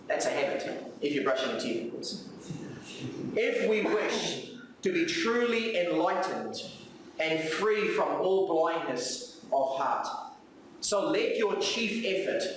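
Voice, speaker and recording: A man with a South African accent, a native Afrikaans speaker, preaching earnestly with repeated phrases and rhetorical questions. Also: fairly high pitch. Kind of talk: sermon with reflective readings. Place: church hall, live service. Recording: very echoey room, distant mic, faint noise.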